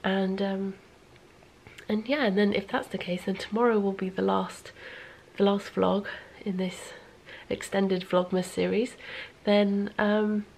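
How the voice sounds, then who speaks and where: A stuffy-nosed woman rambles through a relaxed vlog update at home in the evening. Her voice has a gravelly, fry-tinged edge, her pitch sits mid-range, and she often slips into near-whispers. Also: measured pace, poor audio. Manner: rambling, chatty, sometimes drops to a near whisper. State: congested, sniffly. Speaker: knitting vlogger. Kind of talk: casual vlog chat.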